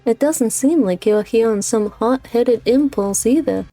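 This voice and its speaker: A woman plays a commanding female mentor in a game fan dub. Her voice is fairly high yet mellow, paced slowly and with cool condescension, over quiet music. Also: no room sound. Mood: composed, pleased. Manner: measured, coolly condescending.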